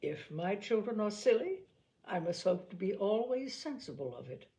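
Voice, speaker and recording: Middle-aged father for a period storybook, read by a woman: cool, measured, dryly ironic and sardonic. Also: mid-range voice, sing-song, warm tone, natural voice.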